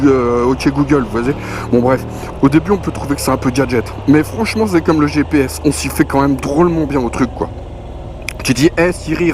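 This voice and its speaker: Male gear reviewer, slightly high voice, conversational and slangy delivery, clear music bed underneath. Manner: colloquial, conversational, punctuated with slang and spoken sound effects. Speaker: motorcycle gear reviewer, presenter. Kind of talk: casual spoken product review. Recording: music playing behind the voice. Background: clear background music.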